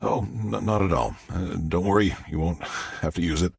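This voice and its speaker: Man acting a flustered, stammering guy with a husky voice. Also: low voice, normal speed, a little mellow, dry recording, heavily acted. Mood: nervous, eager. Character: rattled, stammering grieving son, an adult man. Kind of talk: audiobook character dialogue.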